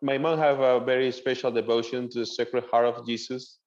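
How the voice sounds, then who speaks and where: A young man with a Venezuelan Spanish accent, a native Spanish speaker, answering interview questions as a seminarian in earnest, halting English. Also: mid-range voice, level tone, darkish tone, dull audio. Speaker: seminarian guest.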